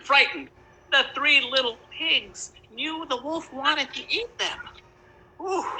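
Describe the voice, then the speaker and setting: A woman's voice: deep voice, noisy background. A woman narrating a children's story in a dramatic storytelling style.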